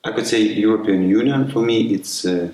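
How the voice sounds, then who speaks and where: A man's voice: fairly low pitch, normal speed, a little mellow. A man giving short, personal interview answers for a documentary. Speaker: improv festival artist interviewed. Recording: echoey room, distant microphone.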